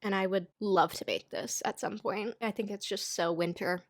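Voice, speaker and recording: A stuffy-nosed young American woman talks in a loose, chatty way, full of "like" and wry jokes at her own expense. Her voice sits at an ordinary middle pitch and is recorded close and dry, with no room sound around it. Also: steady tone, normal speed, no room sound. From United States. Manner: chatty, self-deprecating, lots of "like". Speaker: knitting YouTuber. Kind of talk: casual chatty pattern roundup.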